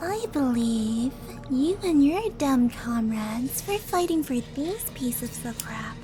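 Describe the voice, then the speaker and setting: High-pitched, taunting demon princess, acted by a woman. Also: darkish tone. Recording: music under the voice.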